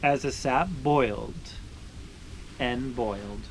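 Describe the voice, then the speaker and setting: A man reading a picture book aloud to children with expressive, deliberate phrasing, stressing words as he narrates. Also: medium pitch. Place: beside a boiling maple sap evaporator. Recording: steady ambient background noise.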